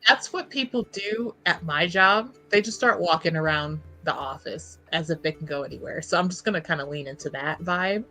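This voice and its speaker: Woman in a ttrpg session, lowish bright voice, casual, thinking out loud, faint music behind. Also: normal speed, few pauses, low-quality recording. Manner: informal, thinking aloud in broken phrases. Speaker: tabletop game player. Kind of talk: casual chat during actual play.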